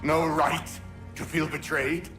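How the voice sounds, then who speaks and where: An actor playing a defeated, wounded British officer in a video game, bitter and philosophical, with a sardonic, breathy delivery. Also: male voice, on the high side, little pitch movement, slow, bright, forceful tone. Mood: sardonic, fading. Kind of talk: dramatic video game acting. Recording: dramatic music under the voice.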